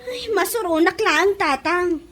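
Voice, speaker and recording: A woman's voice: extremely high pitch, expressive, unhurried, fairly bright tone, exaggerated voice. A woman playing a vain, defiant young mother in an Ilocano-accented comedy radio drama, exclamatory and self-satisfied.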